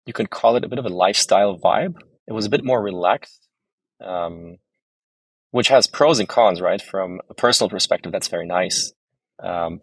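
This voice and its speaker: A man in his thirties from Germany, raised abroad, giving measured, articulate answers as a podcast guest. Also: sits low, gravelly, dry recording, rough recording. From Germany, raised abroad in several countries. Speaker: podcast guest, startup founder. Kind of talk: conversational interview answers.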